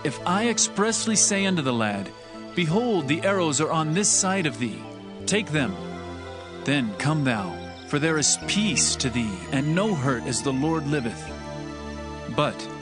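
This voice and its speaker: A man's voice: medium pitch, lightly acted. A man playing a loyal young prince in a dramatized Bible reading, speaking solemnly and earnestly in declamatory archaic English. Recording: background music under the voice.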